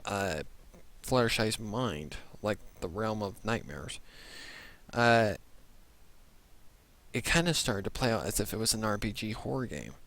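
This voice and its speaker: A man hosting a reaction video with casual, off-the-cuff commentary, haltingly reworking his phrases as he goes. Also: on the low side, a bit bright.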